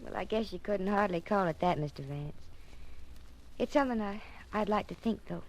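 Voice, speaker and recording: A woman's voice: mid-range voice, normal speed, close to an everyday voice, low-quality recording. A woman playing an artless young country household helper, deferential and hesitant, in an old radio drama. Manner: hesitant, rustic phrasing. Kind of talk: old-time radio detective drama acting.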